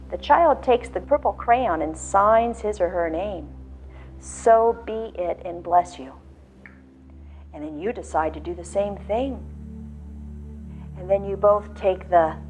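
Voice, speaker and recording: A woman leading a guided visualization, speaking slowly and soothingly with soft pauses. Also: lowish voice. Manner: slow, soothing, drawn-out phrasing with soft pauses. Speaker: guided meditation leader. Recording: slightly dull lo-fi audio with faint background music.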